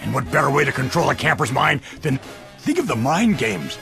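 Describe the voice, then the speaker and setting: An actor playing a scheming, egomaniacal middle-aged camp boss in an animated comedy, pompous and theatrical. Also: male voice, mid-range voice, brisk, vocal fry, over music. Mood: gloating.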